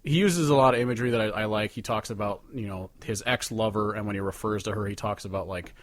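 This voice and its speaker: Low-voiced man chatting about music, sweary. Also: quick pace, no room sound. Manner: sweary, informal, rambling. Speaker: podcast co-host. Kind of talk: casual music discussion.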